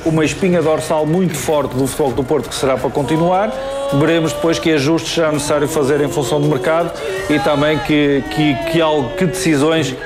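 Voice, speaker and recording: A man with a European Portuguese accent giving football analysis on a studio panel, fluent and measured. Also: on the high side, fairly even intonation, music in the background. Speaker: football pundit.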